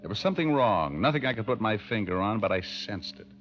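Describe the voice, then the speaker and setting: An actor playing a wisecracking, easygoing ex-cop private detective in an old radio drama, with a wry, offhand delivery. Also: male voice, fairly low pitch, animated, fast, a bit bright. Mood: wry narration. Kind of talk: 1940s radio detective acting, narration and dialogue. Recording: dull old radio recording, music behind.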